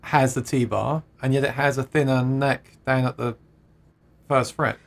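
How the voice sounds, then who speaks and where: A man's voice: mid-range voice, fairly even intonation, a little slow, vocal fry. A man hosting a casual livestream, reading out viewer comments and chatting with plenty of laughs and restarts. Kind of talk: casual chat about guitars.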